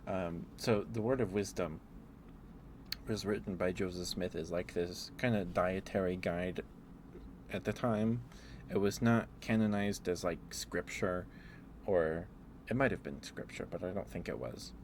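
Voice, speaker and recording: A man with a low-pitched voice chats in a casual ASMR style. He meanders through stories, halting and circling back as he goes. There is clearly audible background noise behind him. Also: darkish tone. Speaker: ASMR streamer. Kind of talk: rambling casual ASMR chat.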